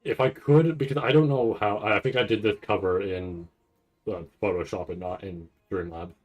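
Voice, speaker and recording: Low-voiced man rambling casually on stream. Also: steady tone, moderate pace, muffled recording. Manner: thinks aloud in fragments, frequently checking with "right?". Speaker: streamer hosting a reaction stream. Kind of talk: casual, rambling livestream chat.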